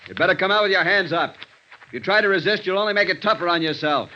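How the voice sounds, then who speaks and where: Bright, forceful radio police sergeant. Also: male voice, high voice, animated, heavily acted. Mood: commanding. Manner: brisk, authoritative. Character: tough, seasoned male police sergeant, commanding and worldly. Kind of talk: 1950s radio detective drama acting. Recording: dull, slightly noisy vintage radio recording.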